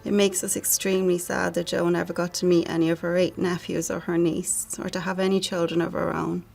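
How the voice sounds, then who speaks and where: A middle-aged woman with an Irish accent reads a prepared family tribute in a solemn, measured way. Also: fairly low pitch, level tone, gravelly, darkish tone, faint music behind. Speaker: bereaved sister giving a family statement.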